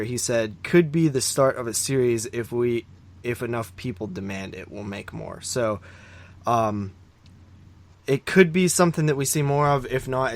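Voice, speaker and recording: A man co-hosting a podcast, chatting casually about films in a halting, rambling way that often doubles back. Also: medium pitch, a bit bright. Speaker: podcast co-host. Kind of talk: casual film review chat.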